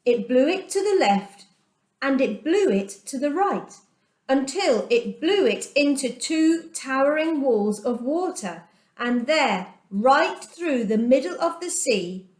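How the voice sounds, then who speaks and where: A middle-aged woman telling a children's Bible story aloud, gentle and expressive, with dramatic pauses. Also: a little high. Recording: echoey room.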